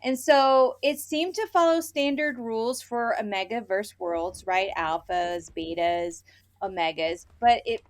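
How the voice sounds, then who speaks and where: Emphatic woman chatting about books. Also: medium pitch. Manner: emphatic and opinionated, conversational. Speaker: podcast co-host reviewing romance novels. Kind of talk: casual book review chat.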